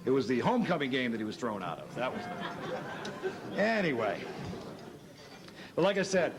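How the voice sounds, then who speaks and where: A middle-aged man emceeing a banquet with a hoarse, scratchy voice, talking in a chatty, self-deprecating, rambling way. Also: mid-range voice, big pitch swings, normal speed. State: hoarse from shouting. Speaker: master of ceremonies. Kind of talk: casual speech at a banquet. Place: hotel banquet hall.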